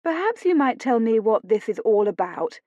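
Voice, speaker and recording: A woman's voice: on the high side, big pitch swings, normal speed, a little mellow, no room sound, muffled recording, voice pushed up. Woman acting a poised, coolly polite southern English lady. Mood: composed. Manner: composed, polite, cool.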